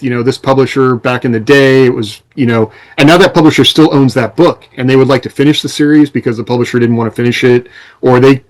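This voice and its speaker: A man's voice: medium pitch, rough recording. A man co-hosting a podcast, explaining things in a conversational, advisory way. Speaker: podcast co-host and author. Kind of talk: casual explanatory advice in conversation.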